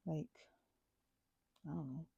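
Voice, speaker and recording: A woman playing a goofy, caring, scatterbrained best friend in a casual ASMR roleplay, with soft, rambling, halting speech. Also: sits low, fairly even intonation, gravelly, breathy edge, no echo, muffled recording, full character voice. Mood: earnest, subdued. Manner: rambling, halting, self-interrupting, giggly.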